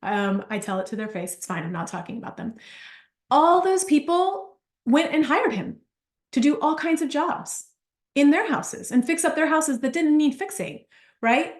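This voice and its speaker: Animated chatty woman in an echoey room. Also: high voice, normal speed. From Argentina. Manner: animated, chatty, storytelling with asides. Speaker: business coach. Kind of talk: live workshop talk.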